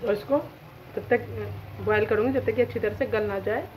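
A woman's voice: mid-range voice, moderate pace, low-quality recording. A woman home cook casually narrating a recipe step by step to her viewers, recorded in a home kitchen. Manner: step-by-step, addresses viewers as friends. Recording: sizzling pan noise over the voice. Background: loud sizzling and frying food.

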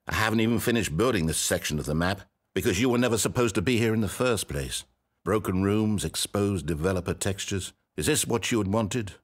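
A man playing a fussy, controlling video game narrator who talks in a dry, sarcastic, mock-patient way. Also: on the low side. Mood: wry.

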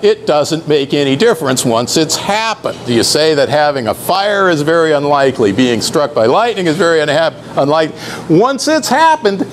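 Middle-aged man, slightly high voice, live presentation, unhurried explaining, faint background noise. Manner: unhurried, explanatory, with some restarts and fillers. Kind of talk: live talk to sailors. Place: club room.

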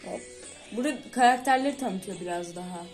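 A woman's voice: mid-range voice, fast, soft background music. A young woman chatting casually as co-host of a reaction video, recorded on a phone. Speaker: co-host reacting to cartoon songs. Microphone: phone on camera.

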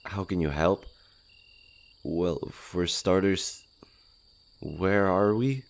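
A man's voice: sits low, very slow, exaggerated voice. A man playing a shy, nervous, friendly skinwalker in human disguise in a roleplay audio drama, hesitant and stammering. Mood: flustered, awkward.

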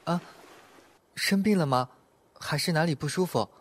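A man acting a gentle, hesitant young roommate. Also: on the high side, moderate pace, husky, no echo. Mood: concerned. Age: young adult. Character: gentle, timid, caring young male roommate. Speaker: voice actor. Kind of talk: Chinese audio drama acting.

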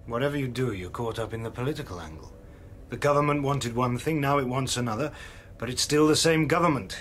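A man's voice: medium pitch, fairly quick, a bit bright, slight background noise, natural voice. A man playing a wry, politically cynical colleague in a radio drama, questioning in a dry manner. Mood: wry, probing.

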